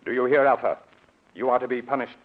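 An actor playing a confident, obsessive scientist in a 1940s radio drama, theatrical, earnest and commanding. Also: male voice, mid-range voice, fairly even intonation, quick pace, phone-quality sound, lightly acted. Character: zealous, persuasive male scientist, confident and obsessive. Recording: thin, band-limited old radio recording.

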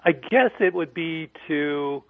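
A man in his sixties calling in to a radio show, asking his question haltingly with fillers. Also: fairly high pitch, animated, creaky, no room sound. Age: 60s. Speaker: caller. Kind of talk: phone-in question. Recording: tinny phone line.

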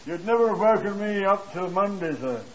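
An actor playing a chatty, respectful working-class night porter in a 1950s radio drama. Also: male voice, high voice, animated, moderate pace, a bit bright, a little hiss or hum. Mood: chatty, deferential. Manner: respectful, plain-spoken. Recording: dull vintage 1950s radio recording.

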